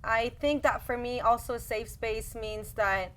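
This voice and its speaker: A woman's voice: fairly high pitch, steady tone, a little slow. Encouraging woman podcast host. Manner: warm encouraging, many "like" and "right?" tags. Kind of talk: casual heartfelt interview chat.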